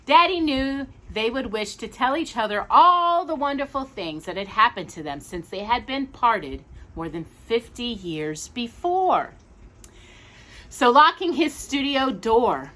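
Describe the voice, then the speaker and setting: Animated woman reading a children's storybook. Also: mid-range voice. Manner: expressive, cooing asides to young listeners. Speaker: storytime host.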